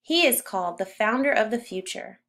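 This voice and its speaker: A woman's voice: medium pitch, lively intonation, normal speed, a bit bright. A woman voicing a bold, insistent boy from the future in a storybook read-aloud, speaking emphatically. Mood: admiring. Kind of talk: storybook character reading.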